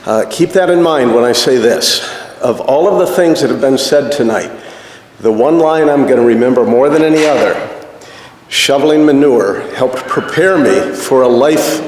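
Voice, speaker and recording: A man with a mid-pitched voice speaks informally to a gathering, joking and hesitating as he goes. The room is echoey, like a hall, and there is faint noise behind him. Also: normal speed, a little mellow. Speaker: speaker at society dinner meeting. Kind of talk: informal off-the-cuff speech. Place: indoor dinner meeting room.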